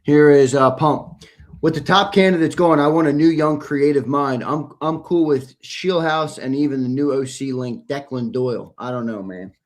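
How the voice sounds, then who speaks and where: A man's voice: on the high side, moderate pace. A man co-hosting a sports talk show who keeps pushing his partner with questions and challenges. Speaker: co-host.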